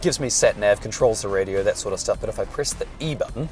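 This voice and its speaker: A hoarse man, chatting and joking as he reviews a car while driving it. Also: lowish voice, fast, darkish tone, soft background music. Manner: chatty, dry jokes. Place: inside a moving car.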